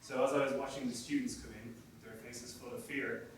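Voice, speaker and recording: A young Irish man giving an academic talk as a lecturer, relaxed and self-deprecating, with frequent fillers. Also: mid-range voice, brisk. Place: seminar room. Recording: echoey room from far off, noisy.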